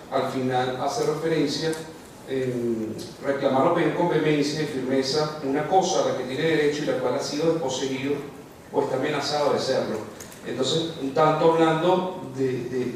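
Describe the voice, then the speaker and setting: A man from Venezuela puts a question from the floor of a lecture hall, formal but halting as he repeats words. His mid-range voice carries from far away. The heavy echo of the hall and faint background noise surround him. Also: mid-range voice, a little mellow. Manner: halting, repeats words while searching for phrasing. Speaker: audience member asking a question. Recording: distant, very echoey lecture hall.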